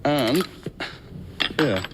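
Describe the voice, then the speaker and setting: A fussy Victorian inventor for a video game, with a middling pitch. He is polite but excitable, often stumbling and hesitating over his words. Clear noise sits behind the dialogue. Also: very slow. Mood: absorbed, explanatory. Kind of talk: dramatic game cutscene acting.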